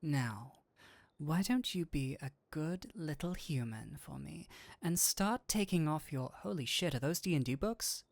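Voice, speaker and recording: A woman voicing a flirtatious incubus demon who is secretly an excitable nerd, in a scripted roleplay with theatrical, formal phrasing that slips into flustered gushing. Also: low voice, a little slow, gravelly, no echo, heavily acted. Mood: seductive, teasing, then astonished, excited. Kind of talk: scripted roleplay audio addressed to the listener.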